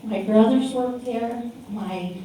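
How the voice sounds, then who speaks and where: A woman's voice: fairly high pitch, takes it slowly, mellow. An older woman from California, reminiscing informally about her life at a community panel. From Corona, California. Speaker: panel speaker sharing memories. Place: community room at a public panel event. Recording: very echoey room, distant mic, faint noise.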